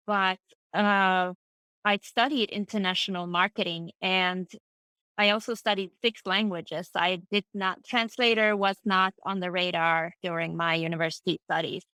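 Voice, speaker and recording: Bright-voiced Swedish-speaking woman, middle-aged. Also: on the low side, moderate pace, clear, resonant tone, no echo. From Finland. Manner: relaxed, thoughtful, with many fillers and restarts. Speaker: interview guest, freelance translator. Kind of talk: casual conversational interview.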